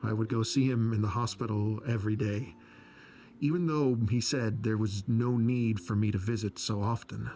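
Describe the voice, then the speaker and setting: A man's voice: lowish voice, steady tone, normal speed, dark tone, soft background music, close to an everyday voice. A man voicing a wistful, self-blaming scholar in a video game, speaking hesitantly. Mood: reflective, sad. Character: downcast, self-blaming, soft-hearted male scholar.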